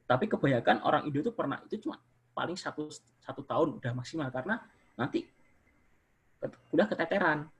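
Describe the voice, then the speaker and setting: A man's voice: fairly high pitch, a little fast, husky. A young man, a university student, chatting casually as a guest on a video call, trailing his sentences with fillers. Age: young adult. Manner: relaxed, many fillers and trailing "gitu".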